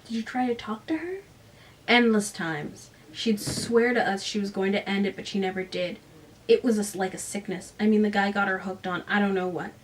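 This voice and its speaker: A woman voicing a guarded middle-aged father who speaks in a quiet, subdued way, recorded a little way from the mic. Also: medium pitch, fairly even intonation, normal speed, recorded from a distance, slight background noise, lightly acted, rough recording. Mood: defensive. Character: aging father, defensive and guarded.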